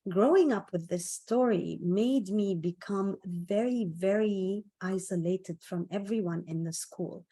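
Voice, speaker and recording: Slow-paced woman giving a personal talk. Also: lowish voice, dark tone. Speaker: motivational speaker. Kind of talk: personal storytelling talk on self-esteem.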